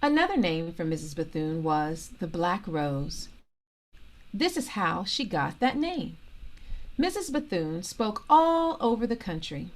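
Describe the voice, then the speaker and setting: A woman reading a children's biography aloud as a storytime host, in deliberate short phrases with expressive delivery. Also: mid-range voice. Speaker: storytime reader and host, organisation president.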